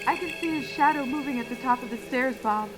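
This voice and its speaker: Woman acting scared lady hiding at home calling into radio, really high urgent voice, faint music. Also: fairly quick, lightly acted, low-quality recording. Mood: terror. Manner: frightened, urgent. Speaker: radio phone-in caller. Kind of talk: radio horror drama.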